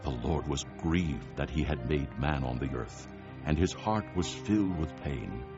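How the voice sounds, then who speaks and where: A man narrating dramatized scripture as a solemn, measured storyteller. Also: rumbling low, lively intonation. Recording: dull sound with music underneath. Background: music.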